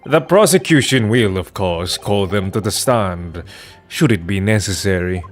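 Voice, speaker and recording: Man playing a pompous, low-voiced prosecutor. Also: animated, unhurried, soft background music. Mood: haughty. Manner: pompous, condescending, formal. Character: haughty, sardonic British prosecutor in Victorian courtroom. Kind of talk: dramatic video game dub.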